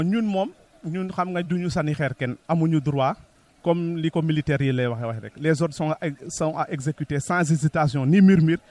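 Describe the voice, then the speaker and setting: Senegalese male interviewee, local official, pitch a little above average, code-switching as he answers. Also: a little mellow. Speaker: Red Cross local secretary-general, interviewee. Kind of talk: interview answers mixing Wolof and French.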